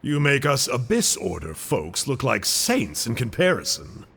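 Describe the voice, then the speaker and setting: An actor playing a calm, scholarly male cult agent who lectures with cool mockery. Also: mid-range voice, vocal fry, a little hiss or hum, heavily acted. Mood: lecturing. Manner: slow, lecturing, measured. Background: game music.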